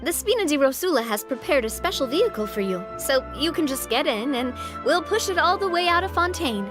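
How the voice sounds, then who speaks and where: A generous young leader speaks in a high, animated voice with hearty command. She sounds upbeat and sure of herself. Game music plays clearly under her. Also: brisk. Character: lively, generous young female group leader. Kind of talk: game voice acting.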